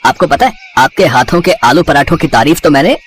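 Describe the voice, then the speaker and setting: A man playing an affable, flattering husband in a cartoon story, breezy and a little defensive. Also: fairly high pitch, fairly quick, slight background noise, natural voice. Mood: friendly, flattering.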